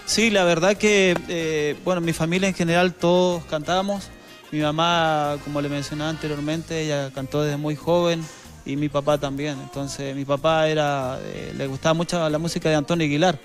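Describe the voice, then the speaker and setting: A man in his thirties with a Chilean accent, a guest singer chatting earnestly on a TV studio set into a handheld mic, with some halting restarts. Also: a little high, fairly even intonation, faint music behind. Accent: Chilean Spanish. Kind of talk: casual chat.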